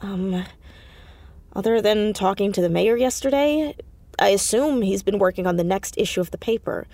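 A woman playing an anxious, earnest high school girl in an audio drama, hesitant but insistent as she talks. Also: normal speed, mellow, dry recording, close to an everyday voice. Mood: thoughtful. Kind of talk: naturalistic audio drama acting.

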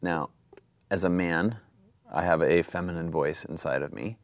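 A man's voice: deep voice, moderate pace, no echo. A man hosting a podcast interview, asking thoughtful, searching questions. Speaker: podcast host.

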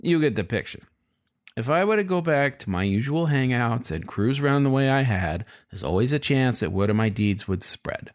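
A man's voice: mid-range voice, expressive, normal speed, close to an everyday voice. A man voicing a sly, frustrated male fox who narrates his story in a wry, confiding, colloquial way, as in an audiobook.

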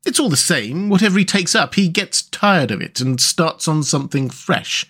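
A man voicing a sociable, chatty river rat in a storybook, with genial, hearty, gentlemanly manners. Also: a little high, expressive, moderate pace, breathy edge, pitched above the performer's own voice. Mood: exasperated, gossipy. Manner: genial, hearty old-fashioned gentlemanly talk.

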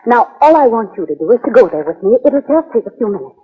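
A woman's voice: on the high side, sing-song, quick pace, slightly rough, full character voice. An actress playing a determined, secretive woman in 1940s radio drama, terse and insistent. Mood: urgent, guarded. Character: determined, secretive, hard-edged woman seeking protection. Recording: tinny, band-limited 1940s radio recording.

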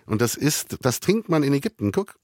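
A man's voice: medium pitch, sing-song, a little fast, darkish tone, no room sound, studio quality. A man who is a guest musician, chatting in a friendly way and laughing easily. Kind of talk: casual chat.

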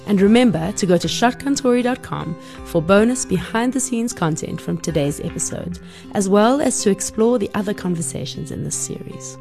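A woman's voice: medium pitch, expressive, normal speed, gravelly, over music. A woman hosting a podcast who reads a scripted intro and asks encouraging interview questions. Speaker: podcast host.

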